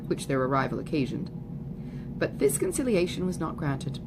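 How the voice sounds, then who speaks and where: A woman reading a classic novel aloud in a measured, articulate way, as in an amateur audiobook. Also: fairly low pitch, noisy background. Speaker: audiobook reader. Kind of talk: literary narration of a classic novel.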